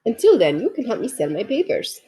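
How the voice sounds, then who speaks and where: Lady playing a friendly newsstand guy in a kids story, raspy n reassuring, lively. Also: on the high side, fairly quick, exaggerated voice. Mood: kindly, reassuring. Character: kindly male newsstand owner.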